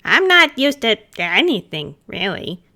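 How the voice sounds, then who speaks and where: A woman's voice: mid-range voice, very animated, unhurried, vocal fry, bright, forceful tone, full character voice. A woman voicing a small, grumpy, mischievous male pumpkin spirit who grumbles and pouts in broken phrases in an amateur roleplay reading. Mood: grumbling, pouty. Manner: pouty grumbling, repeats himself in broken phrases.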